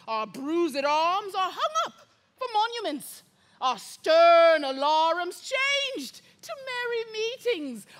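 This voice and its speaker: A woman playing an embittered, scheming deformed royal villain, declaiming a Shakespearean stage monologue with deliberate phrasing and sudden hushed menace. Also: very high voice, exaggerated voice.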